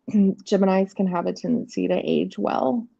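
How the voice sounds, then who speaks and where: A woman's voice: mid-range voice, fairly even intonation, a little slow, creaky, dark tone, slightly rough, no echo, lo-fi sound. A woman in her forties from South Carolina, a guest chatting casually and laughing often. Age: 40s. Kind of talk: casual chat.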